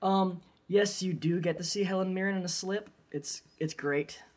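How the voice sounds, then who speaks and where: High, husky-voiced man chatting about films. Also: moderate pace, a little mellow. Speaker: film podcast co-host. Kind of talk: casual chat about films.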